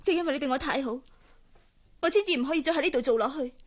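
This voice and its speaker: An actress playing a young housemaid in a Hong Kong Cantonese radio drama, heartbroken and proud, speaking softly with restraint. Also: female voice, high voice, rapid-fire, lightly acted. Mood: hurt, restrained.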